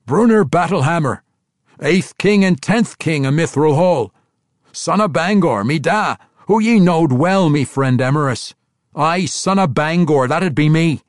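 A man voicing a proud, gruff young dwarf warrior king in a fantasy audiobook, declaring himself forcefully in rustic dialect. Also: a little high, lively intonation, a little slow, no echo, studio quality, voice pushed up.

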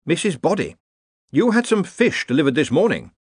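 A harried male academic wizard from a storybook, acted by a male reader. He explains things in an earnest, officious, fussy voice that sits high and moves around a lot. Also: huge pitch swings, moderate pace, no echo, studio quality. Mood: officious, stern.

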